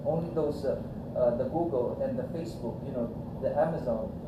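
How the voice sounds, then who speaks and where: A man hosts a live panel, talking with many fillers and halting restarts. He is picked up from across an echoey room. Loud room noise runs under his voice. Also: medium pitch, distant mic. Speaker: event host and organizer.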